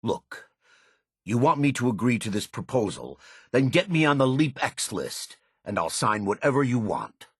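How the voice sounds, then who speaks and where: Commanding corporate magnate, low register, very raspy, shrewd, businesslike, self-assured, video game dialogue, dry recording. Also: male voice, big pitch swings, normal speed, fairly bright tone, no room sound. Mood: firm, demanding. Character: shrewd, domineering soft-drink tycoon making hard bargains.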